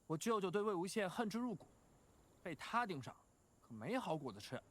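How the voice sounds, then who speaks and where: A man voicing a haughty, prickly teenage boy in an animated fantasy drama. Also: high-pitched, brisk, exaggerated voice. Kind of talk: Chinese animation voice acting.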